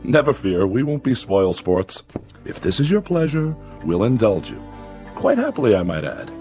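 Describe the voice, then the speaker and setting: A middle-aged, greedy son-in-law in a radio play, all courteous flattery with a snappish edge. His voice sits fairly low and swings about in lively pitch, with faint music behind. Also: moderate pace. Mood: fawning. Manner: courteous, unctuous, prim. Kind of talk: radio drama acting.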